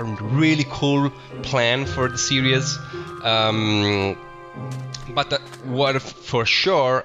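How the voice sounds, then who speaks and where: A young adult man from Italy with an Italian accent, chatting casually and enthusiastically as he narrates his Minecraft gameplay, often restarting his phrases. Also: medium pitch. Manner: chatty, self-correcting, enthusiastic about the game. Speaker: Minecraft let's play host. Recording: slightly dull lo-fi audio with music behind.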